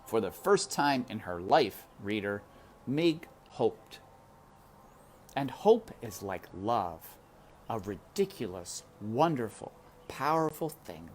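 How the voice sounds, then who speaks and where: A woman reading a children's book aloud with expressive, animated narration and casual asides to her listeners. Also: rumbling low, slow pace, pauses often. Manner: animated, addresses listeners as friends. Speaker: read-aloud storyteller. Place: outdoors in wind. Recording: windy outdoor ambience noise.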